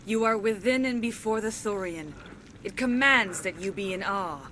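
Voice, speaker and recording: A woman acting as a solemn alien woman in a video game cutscene. She speaks in formal, measured declarations with grave dignity. Faint ambient noise sits behind her voice. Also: mid-range voice, sharp tone, close to an everyday voice. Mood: cold, imperious. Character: alien woman, cold imperious mouthpiece of an ancient plant, later freed and remorseful.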